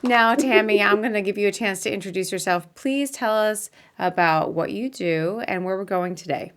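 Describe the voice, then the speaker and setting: A woman hosting a casual live video interview, chatty and quick to laugh. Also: mid-range voice, a bit bright. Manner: chatty, laughs often, apologetic at start. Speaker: podcast host.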